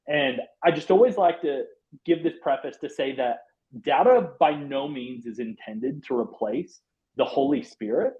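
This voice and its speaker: A former pastor, a man, presenting a church software webinar in an affable way, with lots of "um" and "you know". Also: on the high side. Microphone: video call. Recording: dull lo-fi webinar audio.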